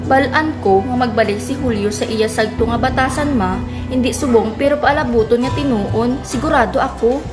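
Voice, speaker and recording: A woman's voice: a little high, moderate pace, lightly acted. A woman with a Western Visayan Filipino accent playing a hurt but firm young mother and wife in a radio drama. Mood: reassuring. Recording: echoey room with music bed.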